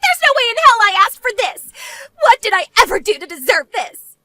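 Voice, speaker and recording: A woman's voice: very high-pitched, big pitch swings, normal speed, bright, forceful tone, no room sound, full character voice. A woman playing a spoiled, arrogant banker's wife in melodramatic scripted story dialogue, desperate and whiny. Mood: outraged. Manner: whiny, haughty, pleading.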